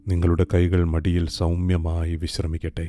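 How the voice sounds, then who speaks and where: A man guiding a meditation in a calm, soft, hushed way. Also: low voice, normal speed. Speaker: meditation guide. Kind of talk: slow guided meditation. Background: soft music.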